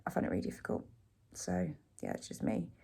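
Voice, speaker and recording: A woman in her forties, hoarse from a cold, chatting casually in a home vlog recorded on her phone, rambling with plenty of ums and likes. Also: fast talker, warm tone. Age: 40s. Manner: rambling, self-deprecating, many ums and likes. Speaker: vlogger. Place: living room at home. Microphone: phone camera.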